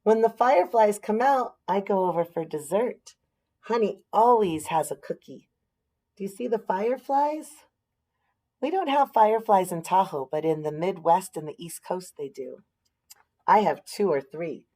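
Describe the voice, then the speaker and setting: A woman's voice: medium pitch, lively intonation, moderate pace, warm tone. An American woman reading a picture book aloud expressively, with explanatory asides and questions for young listeners.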